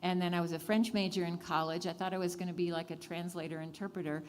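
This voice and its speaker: A woman born in Switzerland whose first language is German, a singer-songwriter who leads a sing-along and then talks softly with fillers in a live radio session. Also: lowish voice, steady tone, quick pace, in a large hall, a little hiss or hum. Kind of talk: live song with spoken interview. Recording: live radio studio with guitar.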